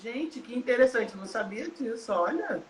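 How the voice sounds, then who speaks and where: A woman hosting a casual interview over a video call, friendly and quick with exclamations. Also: on the high side, animated. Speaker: host. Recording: hissy, echoey room.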